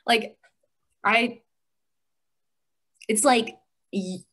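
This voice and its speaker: A young woman with an American accent, chatting casually and thinking aloud in a halting, giggly way. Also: on the high side, fairly even intonation, fairly bright tone. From United States. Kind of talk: casual chat.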